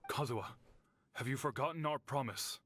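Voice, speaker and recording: A man's voice: mid-range voice, a bit bright, natural voice. Actor as solemn, weary clan father. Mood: grave, regretful. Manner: grave, measured, formal delivery. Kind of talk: dramatic video game voice acting. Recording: game music behind the voice.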